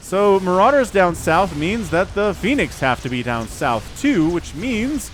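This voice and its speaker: A man in his forties giving lively, excitable play-by-play commentary on a strategy game match. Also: high-pitched, unhurried, soft background music. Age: 40s. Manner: animated, excitable, self-deprecating asides. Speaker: game caster. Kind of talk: esports commentary.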